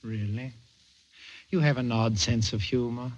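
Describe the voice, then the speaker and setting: An actor playing an unflappable, patronizing Martian psychiatrist with a clinical, humoring manner, in an old-time radio play. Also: male voice, medium pitch, measured pace, lightly acted. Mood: drily humoring. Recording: dull vintage radio recording.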